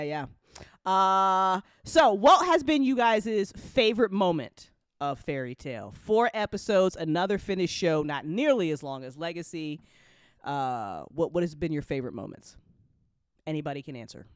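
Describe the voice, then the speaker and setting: A woman's voice: deep voice, very animated, measured pace, smooth, dry recording, clean studio sound. A woman hosting a casual panel chat in an informal, giggly way. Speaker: host and story writer.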